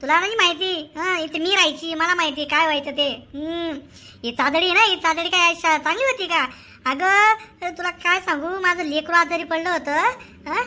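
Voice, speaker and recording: A woman's voice: very high voice, normal speed, bright, forceful tone. A woman performing cartoon comedy dialogue in a scolding, exclamatory, theatrical way. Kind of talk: animated comedy dialogue in colloquial Marathi.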